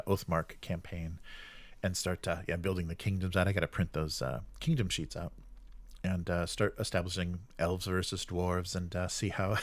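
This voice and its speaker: Male streamer, deep voice, dry close sound, loose hesitant rambling delivery. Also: no echo. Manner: hesitant, rambling, trailing off mid-sentence. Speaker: live stream host. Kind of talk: casual live stream chat.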